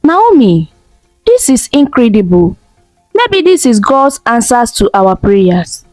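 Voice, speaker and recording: A woman's voice: on the high side, big pitch swings, slow, dry recording, faint music behind, poor audio. A woman voicing a kind, hopeful young husband in a storybook tale. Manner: warm, hopeful.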